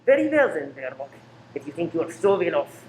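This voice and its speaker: A man's voice: a little high, big pitch swings, a little mellow. Man acting a cold, menacing spy boss, background noise. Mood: smoothly menacing. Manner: measured, scornful. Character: cold, menacing male spy ringleader giving orders. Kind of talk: radio play acting. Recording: noisy room recording.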